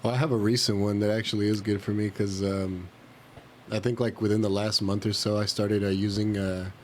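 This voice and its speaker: A man chatting casually on a podcast in slangy, somewhat halting speech. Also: fairly low pitch, level tone, slight background noise. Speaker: podcast co-host.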